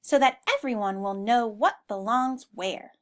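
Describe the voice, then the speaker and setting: A woman's voice: on the high side, a little slow, close to an everyday voice. Woman voicing earnest, tidy storybook animal. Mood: pleased. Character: tidy, earnest, proud male farm animal mapmaker.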